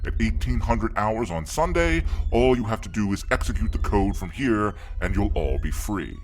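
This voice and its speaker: An actor playing a scheming billionaire tech mogul in an audio drama, suave, formal and assured. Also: male voice, fairly low pitch, lively intonation, moderate pace, a little mellow, natural voice. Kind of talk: scripted audio drama acting.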